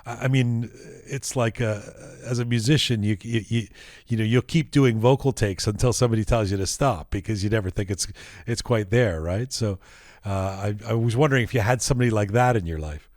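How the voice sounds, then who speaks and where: A man's voice: on the low side, brisk, darkish tone, no echo, clean studio sound. A man hosting an interview, friendly and teasing, often repeating words as he restarts. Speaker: podcast host, interviewer. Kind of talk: conversational interview.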